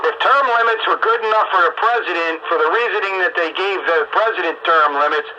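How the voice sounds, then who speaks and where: A man's voice: sits high, fairly quick, sharp tone. A man in casual political discussion, emphatic and opinionated, repeating his points. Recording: lo-fi audio with faint noise.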